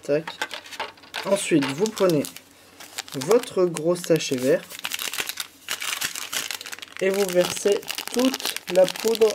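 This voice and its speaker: Man with a stuffy cold, unhurried delivery, tutorial host, faint hiss behind, patient explaining. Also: sits high, lively intonation. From France. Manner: patient, talking viewers through each step with many fillers. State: stuffy, slight head cold. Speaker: YouTuber. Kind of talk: step-by-step candy kit tutorial.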